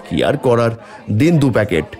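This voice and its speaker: A man voicing an honest, worried village family man in a cartoon, earnest and expressive. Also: medium pitch, fairly quick, some chatter behind, lightly acted. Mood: determined. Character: honest, worried poor village husband and father. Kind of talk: cartoon voice acting.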